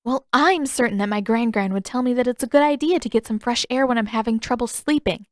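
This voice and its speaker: A woman's voice: on the high side, brisk, dry recording, studio quality, voice pushed up, close to an everyday voice. A woman voicing a defiant, flustered teenage girl who argues emphatically in a narrated fantasy story. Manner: indignant, emphatic.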